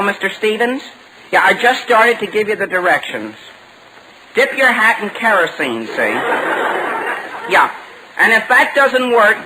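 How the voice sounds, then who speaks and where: An eager teenage son in a vintage radio comedy. His voice is high and bright, swinging up and down as he earnestly protests. The old recording is faintly noisy. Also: heavily acted. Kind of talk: 1940s radio comedy acting.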